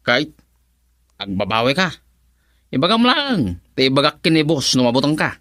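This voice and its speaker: A man's voice: medium pitch, very animated, normal speed, fairly bright tone, dry recording, studio quality. A man playing a cocky crook who laughs and reassures his partner in an Ilocano-accented radio drama. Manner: swaggering, laughing.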